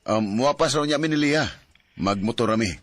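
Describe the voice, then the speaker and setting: A man's voice: medium pitch, brisk, a bit bright, no echo, natural voice. A man acting a loving young father in an audio drama, sobbing in grief. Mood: casual. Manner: emotional, sobbing.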